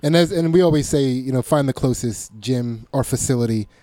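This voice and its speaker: A man's voice: mid-range voice, fairly quick, warm tone, no echo. A man hosting a podcast, chatting casually and often circling back to rephrase as he goes. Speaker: podcast host. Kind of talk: casual conversational chat.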